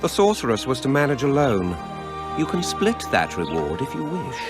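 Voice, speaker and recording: A man's voice: mid-range voice, huge pitch swings, normal speed, loud music behind the voice. An actor voicing an irritable, haughty man of a fantasy port town in a video game. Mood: exasperated, sardonic.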